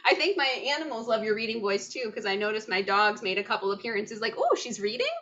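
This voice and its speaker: A woman's voice: medium pitch, lively intonation, fast, a bit bright, dull audio, slight background noise. A woman hosting a book club, chatting casually with gushing enthusiasm. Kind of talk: casual chat, interviewing.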